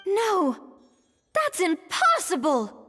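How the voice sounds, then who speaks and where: A woman's voice: extremely high pitch, huge pitch swings, takes it slowly, bright, forceful tone, heavily acted. An actress playing a grandiose young female chef in a video game, flowery and exclamatory. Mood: aghast. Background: music.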